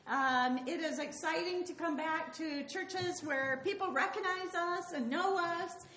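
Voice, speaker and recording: A woman missionary with a loud voice, cheerfully addressing a church congregation and speaking up so everyone can hear. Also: high voice, roomy sound.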